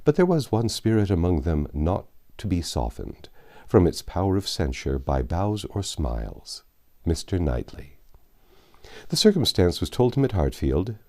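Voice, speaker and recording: A man with a deep, mellow voice reads classic fiction aloud. His delivery is measured and literary, with expressive phrasing and a wide, animated swing in pitch. It has the manner of an audiobook narrator. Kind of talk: reading a classic novel aloud.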